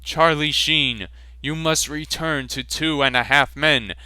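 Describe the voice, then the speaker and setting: Male reader as a pleading cartoon owner, bright and slow. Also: a little high, clean tone, no room sound, voice pushed up.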